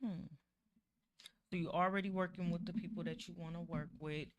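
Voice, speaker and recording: Laid-back woman host with a lowish voice. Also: normal speed, a little hiss or hum. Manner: relaxed, fills speech with "you know what I'm saying". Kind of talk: casual interview chat. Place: recording studio.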